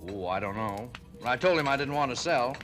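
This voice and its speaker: An actor playing a chatty, proud small-town diner owner who is eager to please, in the style of 1940s radio drama. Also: male voice, medium pitch, animated, a little fast, a bit bright, natural voice. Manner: folksy, chuckling, effusive with customers. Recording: old radio broadcast with music underneath.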